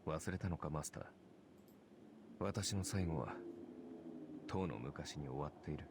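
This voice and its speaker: Very deep, cynical knight. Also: male voice, brisk, noisy background. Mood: calm, resigned. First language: Japanese. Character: cynical red-cloaked male knight, calm and resigned. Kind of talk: Japanese visual novel voice acting.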